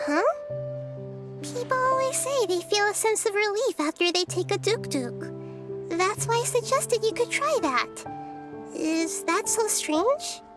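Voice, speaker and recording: A woman voicing a childlike, wise and serene goddess girl who speaks in gentle, measured phrases in an anime video game. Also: extremely high pitch, very animated, exaggerated voice. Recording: faint music behind the voice.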